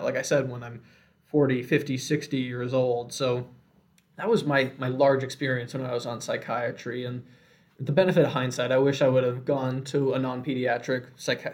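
A young man in his twenties speaks in an earnest, reflective way, handing out advice drawn from his own training. His voice sits at an ordinary middle pitch for a man. The delivery is conversational and unhurried. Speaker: medical student. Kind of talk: casual podcast discussion.

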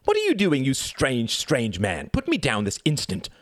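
A man's voice: mid-range voice, huge pitch swings, moderate pace, a bit bright, dry recording, voice pushed up. A man voicing a haughty, defiant young boy with pompous, precocious phrasing in a story reading. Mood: outraged.

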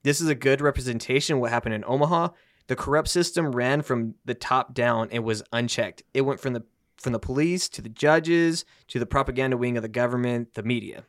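A man's voice: medium pitch, brisk, no room sound, studio quality. Man reading on a podcast. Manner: reads quotes then jokes loosely with friends. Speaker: podcast host. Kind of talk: casual podcast chat, reading from a source.

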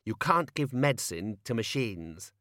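A man's voice: medium pitch, sing-song, a little slow, fairly bright tone, dry recording, studio quality. A man playing a fussy, weary young wizard scholar. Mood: correcting. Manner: precise, weary, correcting.

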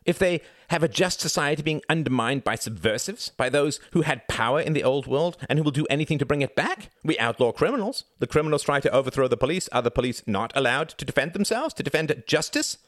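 A man's voice: mid-range voice, sing-song, brisk, no room sound, studio quality, voice pushed up. A man voicing an argumentative young son, a haughty intellectual who lectures emphatically and rhetorically in a dramatised story reading. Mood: heated, haughty.

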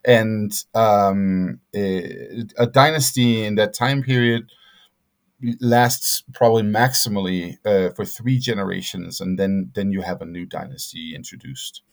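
Man, very low voice, middle-aged, hesitant, restarts often, scholarly interview guest. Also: creaky. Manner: halting, many fillers and restarts. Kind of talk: conversational interview answers.

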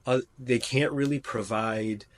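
A man's voice: mid-range voice, steady tone, a little slow. A man, a trauma surgeon, talking earnestly in a casual interview, with many fillers and restarts. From United States.

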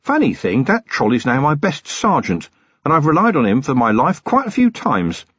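A man voicing a seasoned, gruff police commander in an audiobook, dry, matter-of-fact and fatherly. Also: a little high, wildly swooping pitch, normal speed, a bit bright, no room sound, muffled recording, pitched above the performer's own voice, full character voice. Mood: wry, wary.

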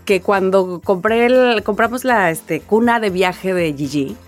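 A woman speaks as a podcast co-host at a medium pitch. Her delivery is casual and conversational, like someone recounting things to friends. Faint music sits behind her voice. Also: measured pace. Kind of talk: casual chat, telling anecdotes and news.

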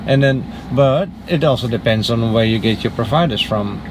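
A man's voice: mid-range voice, normal speed. A man asking curious, chatty questions in a casual street interview. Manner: chatty, curious, talks over answers. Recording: street noise with traffic.

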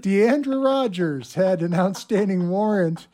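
Man, radio morning co-host, higher-pitched voice, casual banter, conversational, thinking pauses. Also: animated, a little mellow.

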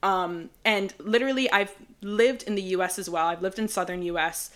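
A woman's voice: mid-range voice, moderate pace, a bit bright. A young woman from the Bahamas, co-hosting a casual podcast chat, rambling and leaning on "like" a lot. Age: young adult. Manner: chatty, rambling, heavy use of "like". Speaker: podcast co-host.

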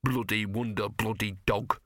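A man's voice: mid-range voice, a little slow, sharp tone, no echo, studio quality. A man voicing a cynical, streetwise little mongrel dog with a sardonic, muttering, withering manner. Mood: bitter, withering.

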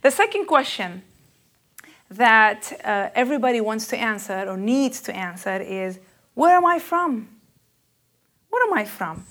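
Earnest woman preacher, rather high voice. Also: animated, a little slow, pauses often. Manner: intense and earnest, rising and louder on key questions; hesitant fillers, searching for words. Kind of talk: spoken sermon.

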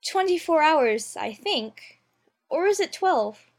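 A woman's voice: sits high, big pitch swings, slow pace, exaggerated voice. A woman playing a polite, earnest, curious young girl in an audiobook drama, prim and well-spoken. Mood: polite, eager. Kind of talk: full-cast audiobook character acting.

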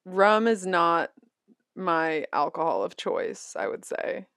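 低めでかすれ気味の声の女性. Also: female voice, fairly low pitch, slow, no echo, studio quality. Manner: laughs often, relaxed and chatty. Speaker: podcast co-host, musician. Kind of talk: casual chat.

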